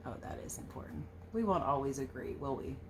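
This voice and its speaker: A woman teacher reading a picture book aloud to children, expressive and sing-song, with casual questioning asides. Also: a bass voice, fairly quick, gravelly, noisy background. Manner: animated, questioning, sing-song verse delivery.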